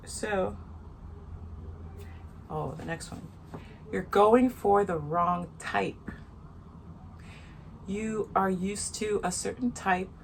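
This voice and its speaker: Soft-voiced woman chatting frankly to camera. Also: medium pitch, a little hiss or hum. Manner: chatty, frank, repeats points for emphasis. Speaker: vlogger. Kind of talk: casual opinion vlog to camera. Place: outdoors in the sun.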